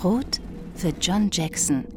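A woman delivering scripted radio narration in a measured, announcing manner. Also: lowish voice, lively intonation, noisy background. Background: music.

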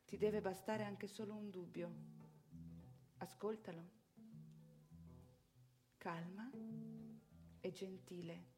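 An actress playing a seasoned street prostitute who coaches a newcomer in an emphatic, blunt theatrical radio monologue. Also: female voice, mid-range voice, fairly even intonation, slow pace, pauses often, over music. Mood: calm, cautionary. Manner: instructing, emphatic, blunt, second-person advice.

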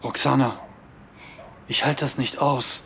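A man's voice: mid-range voice, fairly even intonation, creaky, fairly bright tone, a little hiss or hum. Anxious, guilt-ridden young man. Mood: anguished, weary. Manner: halting, troubled confession. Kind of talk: radio drama acting.

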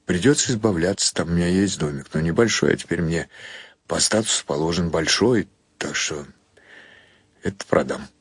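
A man playing a tipsy, wealthy boss in a radio play, talking in a halting, offhand and condescending way. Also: low voice, breathy edge, no room sound, studio quality.

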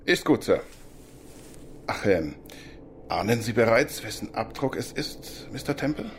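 An actor playing a dutiful, deferential police superintendent in a radio drama, brisk and respectful. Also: male voice, lowish voice, animated, slight background noise.

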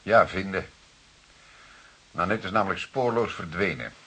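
An actor playing a calm, authoritative police detective in a radio drama, questioning in a formal, deliberate way. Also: male voice, low voice, husky, heavily acted. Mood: grave. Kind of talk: Dutch radio drama dialogue. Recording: hissy old radio drama recording.

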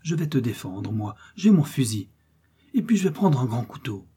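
A man's voice: medium pitch, sing-song, moderate pace, dark tone, breathy edge. A man voicing a brave young peasant boy in a storybook, proud and reassuring. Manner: bold, reassuring.

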